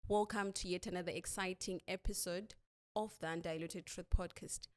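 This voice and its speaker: A woman podcast host with a fairly low voice speaks in short, deliberate phrases. She sounds like an interviewer presenting and questioning a guest. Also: steady tone, darkish tone. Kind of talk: interview with a scripted-sounding introduction.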